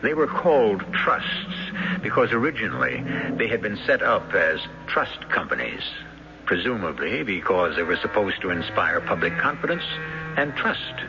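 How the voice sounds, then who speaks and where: A man narrates an old radio drama as host, theatrical and deliberate. His fairly low voice moves expressively through rhetorical phrasing. Music plays clearly behind him in a slightly dull recording. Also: sing-song.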